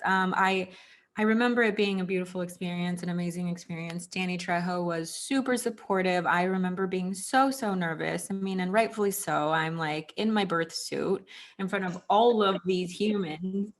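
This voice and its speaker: A woman's voice: on the low side, steady tone, moderate pace. A woman in her thirties from Texas telling personal stories casually as an interview guest, chatty, with plenty of "like" and "you know". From Houston, Texas. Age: 30s. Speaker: interview guest, former actress. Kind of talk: casual conversational storytelling.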